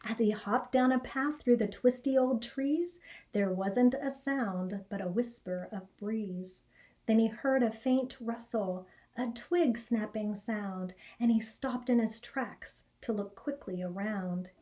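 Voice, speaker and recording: An American woman reads a children's picture book aloud. Her voice sits fairly high and she takes her time, telling the story expressively. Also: slow, mellow. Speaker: elementary school educator reading aloud. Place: small indoor classroom or office.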